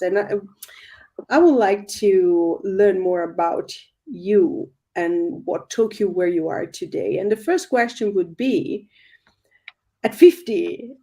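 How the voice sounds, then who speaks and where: A woman's voice: medium pitch, mellow. A woman hosting an interview conversation, welcoming her guest and asking questions with some hesitant phrasing and frequent affirmations. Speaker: host.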